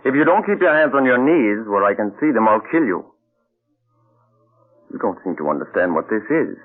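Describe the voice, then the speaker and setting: A cold, menacing mad scientist. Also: male voice, on the low side, sing-song. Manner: cool, measured, quietly threatening. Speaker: villain inventor. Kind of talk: 1940s radio acting. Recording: tinny old radio broadcast.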